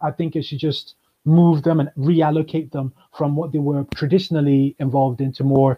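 Man, measured and explanatory, slightly high voice, dull lo-fi call audio. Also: steady tone. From Kenya. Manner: measured, explanatory, with frequent ums. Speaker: guest, chamber of commerce manager. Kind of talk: video call interview. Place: indoors, plain room. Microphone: webcam on video call.

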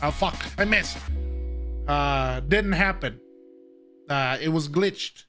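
A playful man with a fairly high voice talks off the cuff on a gaming livestream. He jokes and banters with his viewers in a casual way while game music plays clearly behind him. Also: slow pace, pushed and bright. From Vietnamese. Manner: playful, joking, laughs often. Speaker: game streamer.

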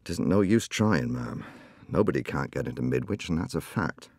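A man's voice: a bass voice, animated, normal speed, a little mellow, no room sound, clean studio sound, pitched below the performer's own voice, exaggerated voice. A man doing a stolid rustic village constable. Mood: confidential. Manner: broad rural dialect, deferential. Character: rustic male village policeman, stolid and polite.

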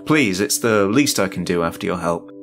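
A man's voice: low voice, expressive, moderate pace, over music. A man voicing a calculating killer father who feigns casual, reassuring helpfulness in a horror story. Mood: falsely friendly.

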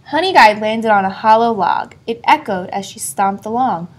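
A woman reading a children's story aloud expressively, pausing to put questions to a young listener. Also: medium pitch, animated. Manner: asks a child engaging questions. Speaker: storybook reader.

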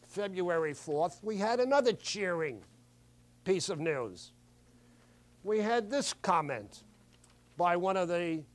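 A man in his sixties giving professorial media commentary in a deliberate, measured, analytical way with dry irony. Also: high-pitched. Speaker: media critic and presenter. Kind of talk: professorial commentary on newspaper articles. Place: small TV studio set crammed with newspapers and magazines.

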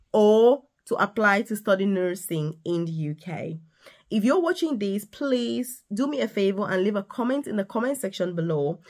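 A woman in her thirties, a nurse and career coach, talking straight to the viewer in an animated, emphatic casual vlog from a small room. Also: mid-range voice. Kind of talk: casual direct-to-camera vlog. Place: small indoor room with decorated wall.

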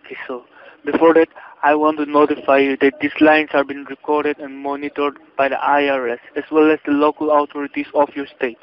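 Male phone agent, slightly high voice, officious and stern, muffled lo-fi call audio. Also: level tone, brisk. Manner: stern, officious, stilted phrasing. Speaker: scam caller posing as tax agent. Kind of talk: scripted phone spiel. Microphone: telephone line. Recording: dull, lo-fi phone call recording.

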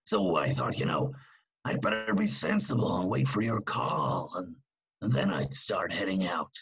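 A very husky, gravelly henchman, played by a man, in a crime drama. He is meek and earnest, hesitating deferentially as his deep voice swings up and down. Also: big pitch swings, normal speed, a bit bright, dull audio, full character voice. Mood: earnest hesitant. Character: meek, chatty, conscientious hired thug reporting to boss.

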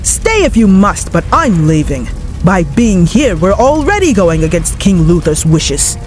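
Stern female knight, lively pitch, acted by a woman. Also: a little slow, faint music behind. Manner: curt, dismissive. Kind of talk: fan dub voice acting.

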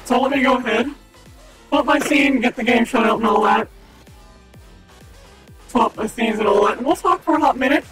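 A man with a strikingly high, slightly raspy voice who chats upbeat and casually to a live stream audience. He speaks into a desk mic. Music plays clearly underneath him. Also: normal speed, pauses often. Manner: chatty, upbeat, talks to chat.

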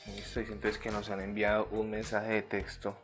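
A man's voice: on the low side, monotone, normal speed, over music. A Colombian man narrating a step-by-step tutorial as the host, in Colombian Spanish.

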